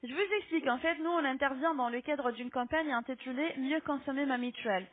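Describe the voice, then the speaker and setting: A woman making a phone sales pitch as an appointment-booking agent, polite but insistent, often ending on a checking question. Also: fairly quick. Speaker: telemarketing agent booking health insurance appointments. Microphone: telephone. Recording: lo-fi muffled phone call.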